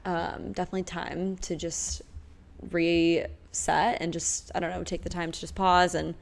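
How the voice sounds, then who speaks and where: A woman's voice: lowish voice, little pitch movement, unhurried, creaky. A young woman from Nebraska, a podcast guest, chatting casually and rambling with lots of fillers. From Omaha, Nebraska, United States. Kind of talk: casual chat.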